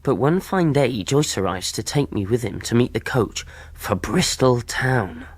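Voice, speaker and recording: An actor playing a respectful, plucky lad with a slightly husky voice in a period radio drama. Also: male voice, medium pitch, animated, measured pace, dry recording. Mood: narrating. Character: earnest, respectful English lad, plucky cabin boy.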